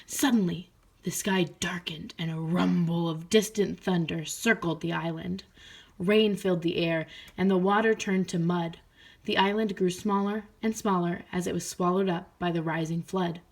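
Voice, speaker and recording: A woman speaking as a teacher in an expressive read-aloud style meant for children. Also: on the low side, moderate pace, creaky. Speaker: teacher reading aloud to pupils.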